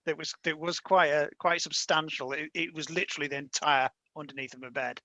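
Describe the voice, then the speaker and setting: A man's voice: on the high side, steady tone, a little fast, pushed and bright, no room sound. A man in his fifties with a light Yorkshire accent, telling a rambling story on a casual panel chat. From Yorkshire, England. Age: 50s. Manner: chatty, rambling storyteller with frequent restarts. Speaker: panel guest, comic creator.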